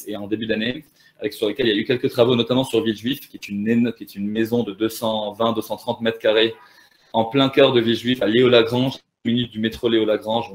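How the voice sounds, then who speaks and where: A man in his thirties with a lowish voice, explaining his startup in a relaxed interview. He talks fluently but keeps stopping to restart a phrase, with little fillers in between. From Lebanon and Canada. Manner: fluent pitch with frequent hesitations and restarts. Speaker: startup founder. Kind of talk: conversational interview answers.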